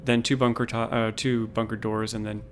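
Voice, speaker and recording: A man giving casual, unhurried gameplay commentary on a livestream, in a calm, low-key voice, thinking aloud as he goes. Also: a little hiss or hum.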